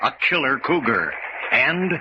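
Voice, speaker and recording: A man's voice: mid-range voice, very animated, a little slow. A man working as announcer, narrating a radio mystery serial in a dramatic scripted style. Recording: muffled, noisy old radio recording.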